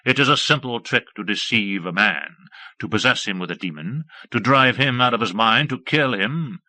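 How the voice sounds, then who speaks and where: Unflappable male wizard, voiced by a man, cold and measured, bright-toned and dry. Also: mid-range voice, no room sound. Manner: measured, flat, lecturing.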